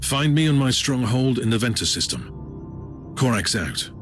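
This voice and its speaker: A man's voice: mid-range voice, big pitch swings, unhurried, a bit bright, faint music behind. An actor playing a self-important male demon hunter in a video game, imperious, curt and urgent. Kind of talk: video game cutscene acting.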